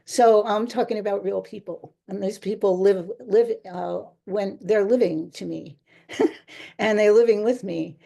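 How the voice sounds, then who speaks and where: A woman's voice: medium pitch, gravelly, warm tone. Woman, author guest, chatty and discursive, easy laughs. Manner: chatty, rambling, laughs often. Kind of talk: casual interview answers.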